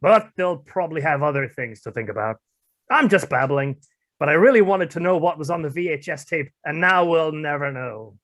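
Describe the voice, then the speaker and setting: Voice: medium pitch, animated, moderate pace, fairly bright tone, natural voice. A self-important news anchor, acted out for a comic radio play. The delivery is melodramatic and babbling, with key words drawn out for emphasis. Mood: wistful, babbling.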